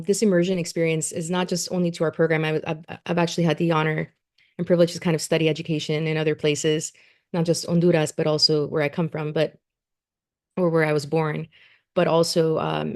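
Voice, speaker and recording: A woman with a low, gravelly voice talks a community group through her youth science program. She is earnest and loose, with plenty of ums and restarts, and the fry stays steady under her words. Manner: earnest, many fillers and restarts. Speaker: education program coordinator. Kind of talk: presentation at a community meeting.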